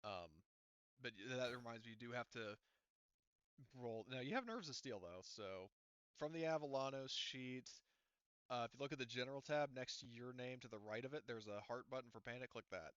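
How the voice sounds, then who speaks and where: Guy game mastering, smooth clear voice, kinda halting while he works out rules, dry slightly muffled mic. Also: mid-range voice, expressive, fairly bright tone, no room sound. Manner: halting, thinking aloud while explaining rules. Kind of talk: casual tabletop game refereeing.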